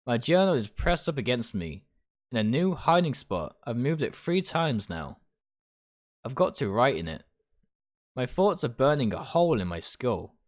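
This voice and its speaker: A man's voice: medium pitch, sing-song, normal speed. A man livestreaming a visual novel, reading its text aloud and stumbling over phrases now and then. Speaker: video game streamer.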